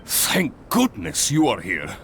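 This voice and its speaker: An actor playing an earnest, polite guild organizer in a video game, explaining things and giving thanks. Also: male voice, on the low side, wildly swooping pitch, slow pace, a bit bright, slight background noise, full character voice. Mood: concerned. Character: earnest, grateful male adventurers' guild organizer. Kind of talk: game voice acting.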